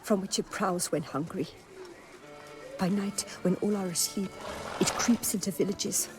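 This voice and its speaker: An anxious young damsel of noble manner, acted by a woman in a fantasy game. Her speech is formal, florid and theatrical, at a middling pitch for a woman, with clear music playing behind her. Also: fairly even intonation, measured pace, lightly acted. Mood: grave, fearful. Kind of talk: video game character acting.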